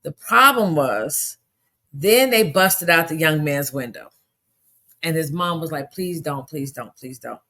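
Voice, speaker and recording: Hoarse woman, podcast host, indignant, emphatic, lowish voice. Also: animated, measured pace, bright, forceful tone. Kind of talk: casual monologue podcast.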